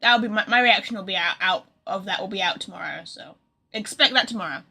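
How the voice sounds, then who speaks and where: A woman's voice: medium pitch, quick pace, creaky, pushed and bright. A woman livestreamer chatting casually and reacting to a game in an informal, teasing way. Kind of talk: casual stream chat, reacting to game dialogue.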